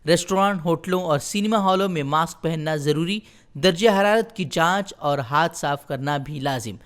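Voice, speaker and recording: Male newsreader, fairly high voice, formal scripted delivery, short headline phrases. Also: normal speed, a bit bright. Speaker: news presenter. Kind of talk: scripted news bulletin narration.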